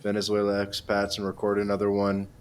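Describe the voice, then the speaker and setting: A young male host speaks in a fairly low voice, easygoing and friendly. He chats casually and leans on relaxed filler words. A faint background hiss runs under his voice. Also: fast talker. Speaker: podcast host. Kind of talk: casual interview chat.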